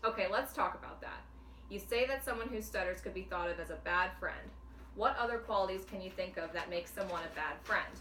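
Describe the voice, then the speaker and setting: A calm, patient woman guiding a client through a counseling exercise, explaining each step clearly. Her voice is recorded from a distance in a roomy, echoing space, with a faint background hiss. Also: medium pitch, brisk. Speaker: clinician. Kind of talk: demonstration counseling session. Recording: echoey room, mic at a distance, faint noise.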